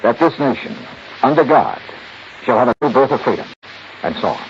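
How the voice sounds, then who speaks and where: A man's voice: mid-range voice, lively intonation, a little fast, gravelly. An American man acting a shrewd, hard-boiled investigator in a 1950s radio drama, with a clipped, confident, sardonic delivery. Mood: accusing, confident. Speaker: lead actor and narrator. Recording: muffled, noisy 1950s radio recording.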